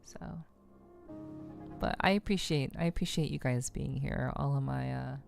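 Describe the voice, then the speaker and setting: A woman hosting a livestream, chatting casually and softly with her viewers in a relaxed, friendly way. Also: very deep voice, moderate pace, creaky, soft background music. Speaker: livestream host.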